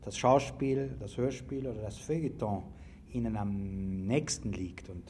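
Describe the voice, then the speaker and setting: A man's voice: lowish voice, unhurried, warm tone. A man putting formal, polite questions to a guest as an interviewer. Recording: noticeable background noise, old interview recording.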